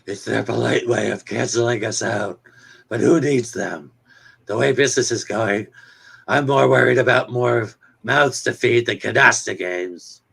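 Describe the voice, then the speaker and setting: Brief: man as worried family father, very gravelly and husky, dismissive, vintage comic-book drama. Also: mid-range voice, a little slow, a bit bright, full character voice. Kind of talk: dramatic reading of a 1950s romance comic.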